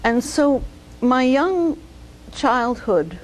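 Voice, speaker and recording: An older woman, a physician, telling her life story slowly and thoughtfully in an oral history interview. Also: high voice, sing-song, a little hiss or hum, rough recording. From United States. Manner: measured, reflective storytelling.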